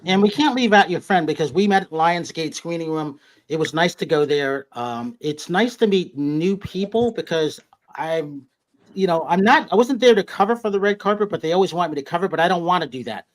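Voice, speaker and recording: A man's voice: fairly high pitch, expressive, a little fast, poor audio. A man hosting a casual interview, asking long meandering questions. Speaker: host.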